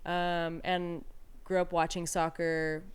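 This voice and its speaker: A woman's voice: lowish voice, flat delivery, slow, clear, resonant tone. A woman in her thirties, from Peru, speaking candidly and reflectively as an interview guest, with frequent fillers and restarts. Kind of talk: casual conversational interview.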